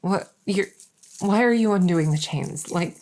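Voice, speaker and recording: Audio roleplay: young royal heiress voiced by a woman, unhurried and confiding, gravelly fry, halting delivery, world-weary. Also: lowish voice, darkish tone. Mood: anxious, pleading. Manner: hesitant, halting, confiding, with trailing pauses. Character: flustered, world-weary young princess, heir to a throne. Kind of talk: intimate audio roleplay addressed to the listener.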